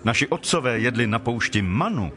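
A man's voice: medium pitch, animated, music in the background, natural voice. An actor playing a challenging, skeptical man from a crowd in a dramatized scripture reading. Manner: challenging, demanding.

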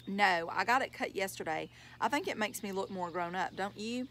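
Storybook schoolboy, about eleven, childlike and eager, read by a woman, mid female pitch, light noise. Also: normal speed, a bit bright, close to an everyday voice. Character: young boy, about eleven, earnest and excitable.

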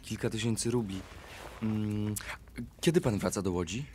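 Actor as low-voiced, brooding Max. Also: male voice, moderate pace, a little mellow, slight background noise, natural voice. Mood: agitated, decisive. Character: Max, brooding, decisive, short-tempered man. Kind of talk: radio drama acting.

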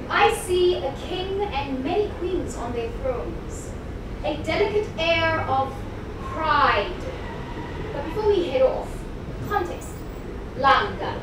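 High-voiced South African woman performing poetry slowly. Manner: dramatic, deliberate, emphatic delivery with pauses and repetition. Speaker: poet performer. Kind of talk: spoken-word poetry performance. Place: live event in an echoey indoor venue. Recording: echoey room, distant mic, clear background noise.

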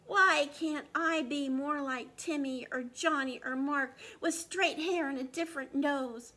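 A woman's voice: sits high, a little slow, pushed and bright. A woman voicing a self-conscious five-year-old boy in a children's storybook, with a plaintive, exclaiming manner. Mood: plaintive, hurt.

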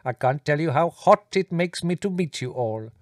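A man doing a high, effusive, formal male guest. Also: lively intonation, normal speed, no room sound, studio quality, close to an everyday voice. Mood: excited. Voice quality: pedantic, effusive, formal phrasing. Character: excitable, earnest, flowery male guest.

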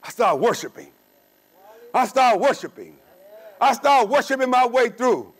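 A man's voice: very high voice, sing-song, unhurried. A man in his sixties preaching a sermon in a church, emphatic, with call-and-response questions. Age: 60s. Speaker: pastor. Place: church sanctuary with stone wall.

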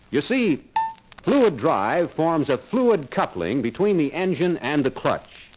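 A man's voice: mid-range voice, rough recording. A man narrating a 1950s sales training film in a confident, persuasive salesman's manner.

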